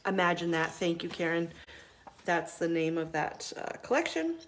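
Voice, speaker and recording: A woman's voice: fairly low pitch, very animated, normal speed, slight background noise. A middle-aged woman hosting a live crafting video, chatting casually with many ums while she works, still stuffy from a cold.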